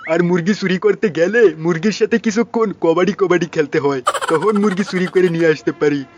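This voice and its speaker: A man voicing a loud, foul-mouthed, toothless cartoon thief boss in Bangladeshi colloquial speech, ranting and repeating himself. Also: high voice, a little fast, background noise, natural voice. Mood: scheming. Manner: ranting, repeats phrases, coarse slang. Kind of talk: comic cartoon dub.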